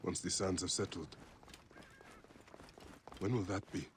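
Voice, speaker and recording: Clear ambient noise surrounds a low male voice. It is an Egyptian warrior husband, heartfelt and grieving, a protector of his people in a video game drama. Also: fairly even intonation, measured pace. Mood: guarded. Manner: earnest, heartfelt.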